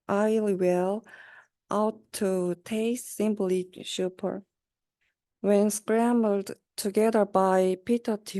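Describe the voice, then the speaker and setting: A young woman, a university student, reading a children's rhyming story aloud in a halting way. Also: mid-range voice, warm tone, dry recording. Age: young adult.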